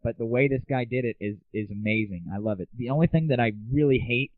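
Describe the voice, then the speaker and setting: A man's voice: medium pitch, fast, dry recording. A young American man hosting a casual solo show of gaming news and comic rants, irreverent, opinionated and slangy. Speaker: host. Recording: tinny, dry, phone-like narrow audio.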